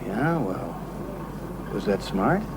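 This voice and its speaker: An actor playing a sceptical, inquisitive acquaintance in a television drama, speaking in a casual, wry way. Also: male voice, lowish voice, sing-song, slow, noisy background.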